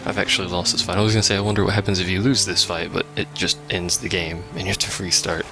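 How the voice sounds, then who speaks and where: A man's voice: low-pitched, flat delivery, fast. A man giving casual, sarcastic commentary as he plays a video game. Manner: sarcastic, wry. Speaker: let's play host. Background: game music.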